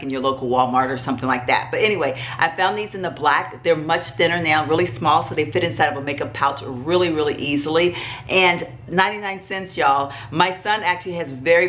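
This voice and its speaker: A woman's voice: low-pitched, fairly bright tone, a little hiss or hum. A middle-aged woman talks casually to her viewers from home. She is fighting a cold, and her talk rambles along with plenty of fillers and asides. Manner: rambling, chatty, many fillers. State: sick with a cold. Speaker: vlogger host. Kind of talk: casual chat to camera.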